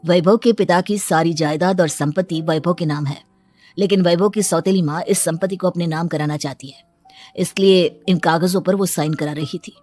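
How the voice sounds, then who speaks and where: A woman plays a lawyer in a cartoon story. The voice is on the low side, calm and formal, explaining in a measured way over quiet music. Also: close to an everyday voice. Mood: matter-of-fact.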